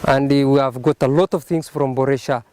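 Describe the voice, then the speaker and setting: A man giving a halting, unscripted testimonial in an interview as a project beneficiary. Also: medium pitch, fairly even intonation, a little mellow.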